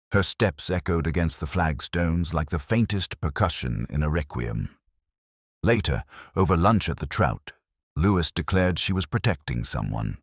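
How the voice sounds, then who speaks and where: A man plays a weary middle-aged detective telling his own case as audio drama. His voice is extremely low and moves expressively up and down, with a measured, brooding, literary delivery. The recording is close and dry. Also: breathy edge, dry recording, heavily acted. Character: weary, razor-minded middle-aged English male police inspector narrating his case. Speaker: narrator. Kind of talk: scripted first-person detective audio drama narration.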